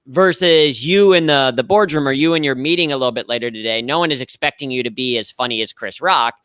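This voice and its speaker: A man's voice: medium pitch, fast, fairly bright tone, clear, resonant tone, no room sound, clean studio sound. A young adult man with a slightly nasal voice, a guest explaining humor at work in an upbeat, self-deprecating way. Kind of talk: conversational interview answers.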